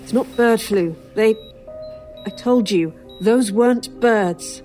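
Slow, haunted hospital patient. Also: female voice, on the high side, warm tone, faint music behind. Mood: bleak, haunted. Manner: halting, troubled delivery. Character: distraught, haunted, bitter female hospital patient. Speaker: voice actor in an audio drama. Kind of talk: old time radio horror drama acting.

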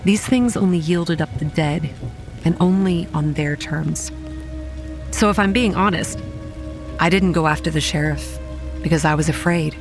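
Horror audio drama lead: young woman, haunted and wry, measured first-person narration over quiet music and rain. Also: deep voice, low-quality recording. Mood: grave, haunted. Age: young adult. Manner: grave, measured storytelling with dry sarcastic asides.

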